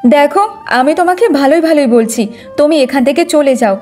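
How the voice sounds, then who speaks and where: A woman's voice: high-pitched, normal speed, darkish tone, soft background music, lightly acted. A woman voicing a talkative, aggrieved young village wife in a moral-story cartoon, with a plaintive way of talking. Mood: angry defiance.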